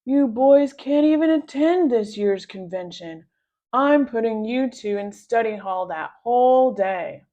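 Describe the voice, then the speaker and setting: A woman's voice: a little high, lively intonation, a little slow, warm tone, lo-fi sound. A woman voicing a smug, officious and cruel male school principal in a children's storybook. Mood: gloating. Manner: gloating, officious. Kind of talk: children's storybook read-aloud.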